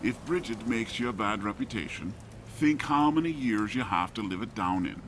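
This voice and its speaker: A man's voice: lowish voice, animated, normal speed, close to an everyday voice. A man playing a wry, wary peasant husband in an audio drama, speaking. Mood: wry, placating. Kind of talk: audio drama character acting. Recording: clear background ambient noise.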